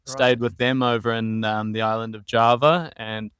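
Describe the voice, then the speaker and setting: A young man with a New Zealand accent, a podcast guest telling his travel story in a relaxed, meandering way with frequent ums. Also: mid-range voice, moderate pace, clean tone, no echo, studio quality. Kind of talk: casual interview chat.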